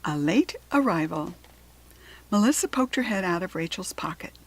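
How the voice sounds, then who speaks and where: A woman with an American accent giving an expressive, deliberate narration of a children's story. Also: fairly low pitch, slight background noise. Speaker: storybook reader.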